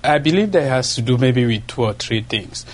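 A man's voice: mid-range voice, expressive, moderate pace. A reporter from Cameroon, a man with a Cameroonian accent and French as his first language, speaking earnestly in an interview clip.

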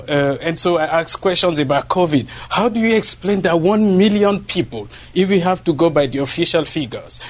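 A reporter from Cameroon, a man with a Cameroonian accent and French as his first language, speaking earnestly in an interview clip. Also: fairly high pitch.

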